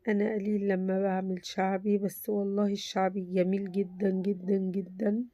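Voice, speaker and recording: A woman with an Egyptian Arabic accent talks her viewers through a simple craft project, her voice hoarse from a cold. She keeps a mellow, level tone and keeps repeating how easy it all is. She is recorded close in a dry room with no echo. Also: mid-range voice, little pitch movement, fairly quick, muffled recording. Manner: chatty, reassuring, repeats "very easy". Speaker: crafts channel host. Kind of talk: casual craft tutorial.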